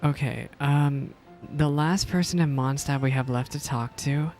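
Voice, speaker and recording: A woman with a very low voice gives casual, playful reactions as she plays a video game. She is chatty and teasing, with faint game music underneath. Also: measured pace, a little mellow. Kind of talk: casual gameplay reaction commentary.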